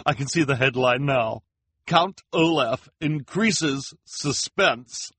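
A man's voice: fairly low pitch, slow pace, darkish tone, husky, dry recording, pitched above the performer's own voice. A man voicing a gushing, gum-snapping woman reporter who talks breathlessly and fawningly.

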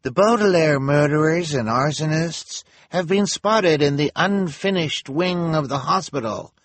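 A man voicing a teenage girl posing as an officious hospital official, in slow, loud announcements for an audiobook. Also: a little high, dry recording, voice pushed up. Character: teenage girl impersonating hospital human resources head on intercom. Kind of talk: audiobook character acting.

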